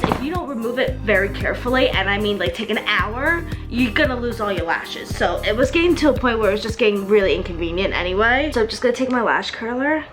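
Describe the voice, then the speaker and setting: A woman talking casually as the host of a makeup tutorial, explaining each step in a chatty way. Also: mid-range voice. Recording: music behind the voice. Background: music.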